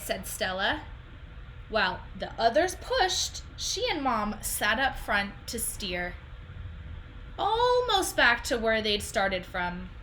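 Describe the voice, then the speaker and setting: A young woman reading a children's picture book aloud in an expressive, deliberate storytelling style, recorded at home on a computer microphone. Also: fairly high pitch, slight background noise, poor audio. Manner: animated, deliberate phrasing.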